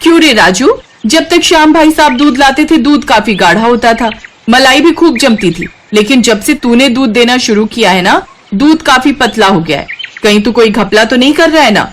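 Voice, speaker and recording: Woman acting suspicious, scolding customer, raised pitch. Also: animated, moderate pace, slight background noise, lightly acted. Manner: scolding, questioning. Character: suspicious, indignant village milk customer. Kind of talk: animated moral-story character acting.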